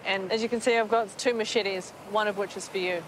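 A woman's voice: medium pitch, steady tone, a little fast, background noise. A woman in her thirties from New Zealand, a chef guiding a forage outdoors, upbeat and encouraging with dry humor. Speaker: chef guide. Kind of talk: casual on-location chat. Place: outdoors in dense forest. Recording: music underneath.

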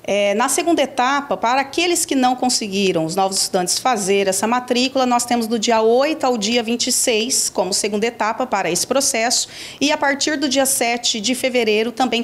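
A woman in her forties, director of a school enrollment office, answering a reporter's questions in a measured, explanatory way with frequent fillers, indoors on a handheld mic. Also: on the high side. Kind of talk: news interview answers.

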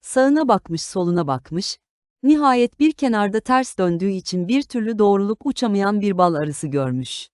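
A woman reading a scripted fairy tale for children as an expressive storyteller. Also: mid-range voice, normal speed, clear, resonant tone, no room sound, studio quality.

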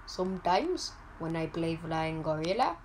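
A speaker with an Indian accent comments on a mobile game in a flat, joking deadpan. The words come slowly and sit in a lowish register. A faint hiss runs under the home mic recording. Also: female voice, low-quality recording. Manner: deadpan, halting, joking persona. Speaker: gaming YouTuber. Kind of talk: comedic gameplay commentary.

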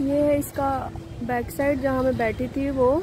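A woman's voice: high-pitched, steady tone, normal speed. Chatty young woman vlogging on a phone. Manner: chatty, mixes English into Hindi, frequent fillers. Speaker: travel vlogger. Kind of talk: casual travel vlog, talking to camera. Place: on the move: train, hotel room, outdoor park and lakeside street. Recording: phone recording with traffic and street noise.

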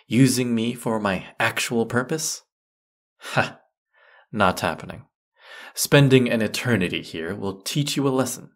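Guy doing a grumpy snobby teapot spirit for audio roleplay, slow talking, lots of vocal fry, sarcastic and put-upon. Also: mid-range voice, lively intonation. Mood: scolding, dismissive. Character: grumpy, haughty teapot spirit over a hundred years old. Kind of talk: scripted audio roleplay addressed to the listener.

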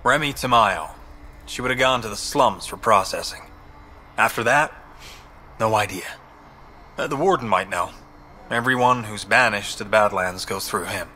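An actor playing an anxious, guilt-ridden debt collector for a gang in a video game, speaking in a nervous, hesitant way. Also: male voice, on the low side, normal speed, pauses often, sharp tone, slight background noise. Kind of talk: scripted game dialogue. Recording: faint vehicle and outdoor ambience.

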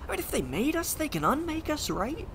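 A woman's voice: high-pitched, sing-song, brisk, noisy background. A woman acting in an audio drama as a stammering, exasperated, slangy, foul-mouthed superpowered slacker who follows the rules reluctantly. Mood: angry, exasperated.

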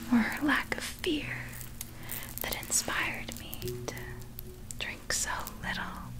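Woman acting as ageless vampire, whispered ASMR storytelling, unhurried, formal old-fashioned wording, eerily polite, possessive. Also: on the high side, low-quality recording. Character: ageless vampire recounting past feedings, eerily courteous, possessive.

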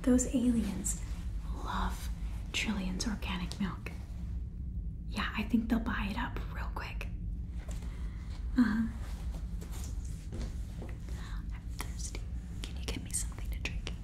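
A young woman playing a brisk, scheming space-farm businesswoman in an ASMR sitcom, chatty and salesy in whispers and soft speech, stammering over her words. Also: medium pitch, close to an everyday voice. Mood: busy, pleased. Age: young adult. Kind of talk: ASMR roleplay sitcom, whispered and softly spoken.

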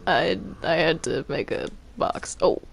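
A woman's voice: sits low, unhurried, vocal fry, a little hiss or hum. A young woman playing a character in a game roleplay, talking casually and stammering when flustered. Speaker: roleplay player.

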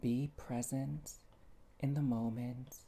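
A meditation guide speaking gently and soothingly, with long pauses and soft, breathy phrase endings. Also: mid-range voice, steady tone.

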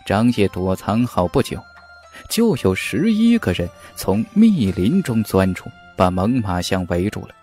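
Expressive male audiobook narrator. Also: fairly low pitch, measured pace, soft background music. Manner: expressive storytelling delivery. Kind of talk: serialized web novel audiobook narration.

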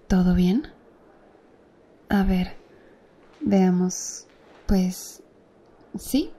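A woman acting as a calm, attentive female doctor in an ASMR roleplay, speaking softly and close to the listener. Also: fairly low pitch, slightly rough, full character voice. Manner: soft, close-up ASMR speech, gently addressing the listener.

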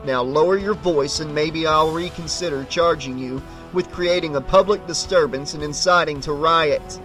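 A stern male police detective played by a male audiobook reader. He is gruff and commanding, with a sarcastic edge and a growl in his delivery. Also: a little high, moderate pace, bright, forceful tone, faint music behind.

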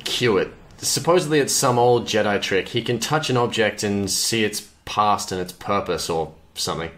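A man's voice: fairly low pitch, normal speed, a bit bright, natural voice. Man acting a wry smuggler pilot, roomy sound. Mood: dry. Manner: casual, dry. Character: wry, easygoing smuggler pilot and crew leader. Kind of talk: audio drama acting. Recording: roomy with faint background noise.

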